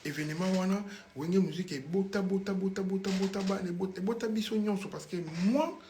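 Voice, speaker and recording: Man, Congolese French accent, high voice, rambling, chatty, studio interview, faint hiss. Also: brisk, warm tone. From Congo (Kinshasa). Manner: rambling, mixes French and Lingala, hums song snippets. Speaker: guest musician. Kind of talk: casual studio interview storytelling.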